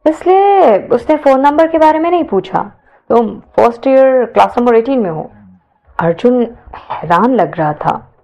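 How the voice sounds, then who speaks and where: High-pitched woman narrating an audio story. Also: darkish tone.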